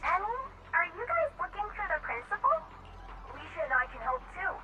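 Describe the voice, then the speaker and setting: An actress voicing an earnest, helpful little schoolchild in a video game. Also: female voice, extremely high pitch, sing-song, brisk, far from the mic, noisy background. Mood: eager, helpful. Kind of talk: English video game voice acting.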